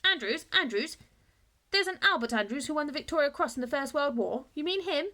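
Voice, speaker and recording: A woman's voice: sits high, lively intonation, fairly quick, pushed and bright, voice pushed up. A woman voicing a chatty male history teacher in a school storybook. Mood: inquiring.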